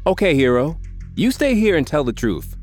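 A man voicing a tough, wry teenage gang leader with a gruff, sarcastic delivery. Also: mid-range voice, very animated, moderate pace, soft background music, pitched above the performer's own voice, close to an everyday voice.

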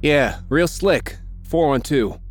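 A man voicing a tough, wry teenage gang leader with a gruff, sarcastic delivery. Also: a little high, expressive, slow pace, faint music behind, voice pushed up.

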